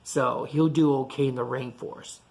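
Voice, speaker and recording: A woman reading a picture book aloud to children, chatting to them in animated asides and questions. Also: a bass voice, normal speed. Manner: animated asides to young listeners, asking questions. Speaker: storytime reader.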